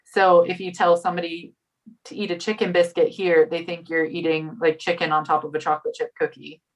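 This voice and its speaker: A woman's voice: on the low side, flat delivery, a little fast, poor audio. A woman chatting casually as a guest, filling her talk with "like". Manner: chatty, uses "like" a lot. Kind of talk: casual chat.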